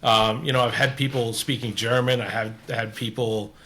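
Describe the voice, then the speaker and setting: A man with a Canadian accent speaks at a middle pitch. He hosts a casual interview chat, talking earnestly and restarting his sentences as his thoughts run on. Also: level tone, normal speed, pushed and bright. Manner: rambling, restarts often, earnest. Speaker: podcast host.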